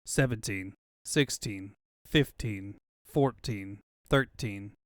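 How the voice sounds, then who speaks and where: A man voicing a flat, methodical bomb countdown in an amateur audio drama. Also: lowish voice, takes it slowly, no room sound, clean studio sound, voice pushed down.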